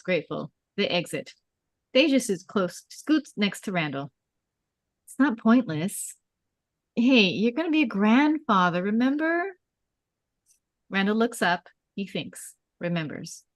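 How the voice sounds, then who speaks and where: A woman's voice: medium pitch, no echo. Woman reading screenplay directions, dry sound. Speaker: stage directions reader. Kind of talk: scripted narration at a table read.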